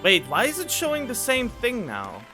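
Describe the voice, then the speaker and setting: A man's voice: extremely high pitch, wildly swooping pitch, normal speed, sharp tone. A man giving casual reaction commentary on game cutscenes, puzzled and thinking aloud as he goes. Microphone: studio condenser microphone. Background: music from game cutscenes.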